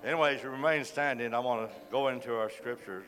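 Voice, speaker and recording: A man with a cold, hoarse as he preaches a sermon, reads scripture and says frequent amens. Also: medium pitch, fast, a bit bright, faint music behind. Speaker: guest preacher. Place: church sanctuary. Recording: long reverb of a church hall.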